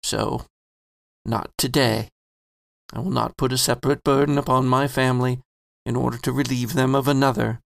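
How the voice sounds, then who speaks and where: A man voicing a gentle, reflective landlord with a gravelly edge. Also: medium pitch, flat delivery, moderate pace, no echo, close to an everyday voice. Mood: resolute. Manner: soft reflective first-person monologue. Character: gentle middle-aged male landlord, kindly.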